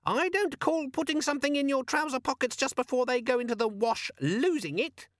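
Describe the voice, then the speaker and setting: A man voicing a tiny sulky demon in a gadget, with a squeaky voice and an indignant, needling manner, for a storybook. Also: lively intonation, fairly quick, dry recording, clean studio sound. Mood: indignant, sarcastic. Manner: indignant, needling, falsely cheerful. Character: tiny sulky demon inside a pocket gadget, sarcastic and nagging.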